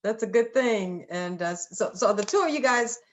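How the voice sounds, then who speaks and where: A woman's voice: mid-range voice, lively intonation, moderate pace. A woman with an American accent hosting a live show and interviewing guests in a casual way.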